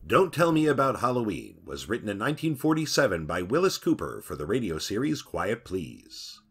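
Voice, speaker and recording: Male announcer for scripted credits: raspy mid-range voice, lively intonation. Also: lowish voice, moderate pace.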